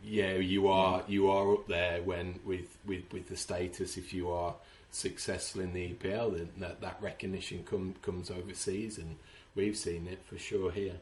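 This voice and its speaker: A low-voiced English man speaking over a video call. He tells his club stories in an easy, chatty way and often repeats a word as he restarts a phrase. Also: level tone, normal speed, darkish tone, a little hiss or hum, low-quality recording. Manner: chatty storyteller with frequent restarts. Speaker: supporters club organiser. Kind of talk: casual interview chat.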